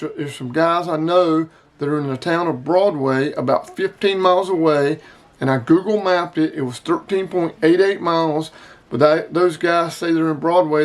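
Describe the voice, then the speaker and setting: A man giving a casual, plain-spoken product review as a channel host. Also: a little high.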